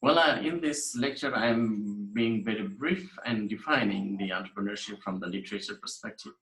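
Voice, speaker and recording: A man's voice: lowish voice, animated, moderate pace, fairly bright tone, roomy sound, poor audio. A man from Nepal giving a recorded university lecture over slides, earnest and emphatic, often restarting and repeating phrases.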